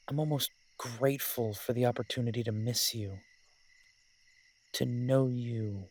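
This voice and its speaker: A man playing a lovesick, wry mafia boss who rambles haltingly in an intimate audio drama voice message. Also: mid-range voice, lightly acted. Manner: halting, rambling, drawn-out pauses, occasionally swearing.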